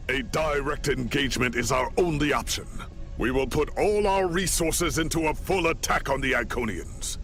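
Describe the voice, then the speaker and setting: A man's voice: mid-range voice, fairly bright tone. A man playing a grim, resolute military commander in a sci-fi game, formal and declamatory. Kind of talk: video game voice acting. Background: background music.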